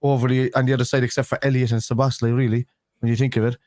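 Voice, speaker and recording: A man's voice: mid-range voice, flat delivery, very fast, a little mellow, no echo, clean studio sound. A man with a Liverpool accent, co-hosting a football fan live stream, talking casually and rambling with restarts a day after having a tooth pulled. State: speaking after a tooth extraction.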